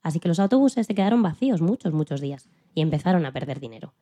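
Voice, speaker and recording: A woman playing a dignified elderly female civil rights activist who recalls her struggle in a calm, earnest, plain-spoken way, as scripted interview storytelling. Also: deep voice, quick pace. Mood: earnest, resolute. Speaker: podcast guest in a dramatized interview. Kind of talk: scripted first-person storytelling in interview form.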